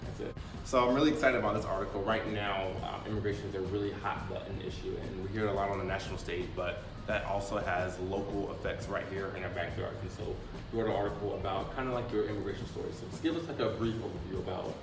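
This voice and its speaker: A man hosting a casual vlog interview, chatty and quick, with lots of "like" in his speech. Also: low voice, fairly even intonation, far from the mic. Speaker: host. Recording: roomy, off-mic, music behind.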